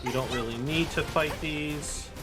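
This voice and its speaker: A man's voice: fairly high pitch, measured pace. A man giving casual, playful live commentary as he streams a video game, sometimes slipping into goofy chanting. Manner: playful, breaks into goofy rap-style chanting and sound syllables. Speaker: video game livestreamer narrating a speedrun. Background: game music and sound effects.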